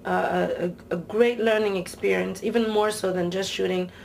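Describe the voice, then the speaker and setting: A woman from Nigeria speaks as a producer in a relaxed, unscripted interview. Her pitch sits in the middle range, and there is slight ambient noise behind her. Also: normal speed, vocal fry. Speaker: TV series producer. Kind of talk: casual behind-the-scenes interview.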